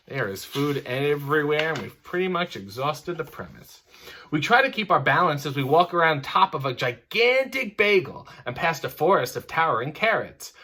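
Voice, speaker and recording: A man's voice: a little high, bright, forceful tone. A man reading a bedtime story aloud, breaking off into sardonic, mock-disgusted comic asides. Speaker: storyteller reading a picture book with commentary.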